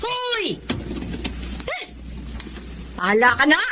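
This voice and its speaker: A young, devout little sister with a high voice, earnest and easily exasperated. It is radio drama acting, with clear ambient noise behind her. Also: sing-song, slow pace, exaggerated voice. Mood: worried, scolding. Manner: earnest, pleading, fretful.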